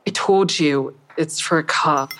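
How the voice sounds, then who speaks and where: A woman's voice: low voice, a little slow, fairly bright tone. A woman playing a wary, stubborn mother who narrates her risky investigation in the first person in an audio drama. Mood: guarded, uneasy.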